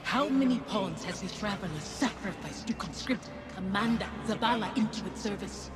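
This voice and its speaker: A woman voicing the ghostly apparition of a bereaved wife in a video game, stern and unflinching, with a pointed, deliberate delivery. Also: medium pitch, close to an everyday voice. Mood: bitter, accusing. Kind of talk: dramatic video game voice acting. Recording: echoing voice over dramatic music.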